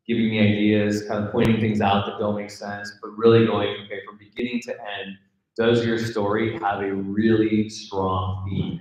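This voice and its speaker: Man hosting in a very echoey room. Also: on the low side. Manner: conversational, engaging listeners with questions. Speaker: event host and program organizer. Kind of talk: informal live announcements to a gathering. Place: indoor mixer venue in front of a gathering. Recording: very echoey room, live event.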